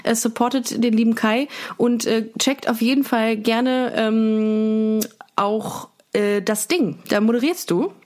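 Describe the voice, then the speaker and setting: A woman's voice: a little high, fairly even intonation, slow, darkish tone. A woman hosting a casual podcast chat, speaking informally and often searching for her phrasing. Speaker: podcast host.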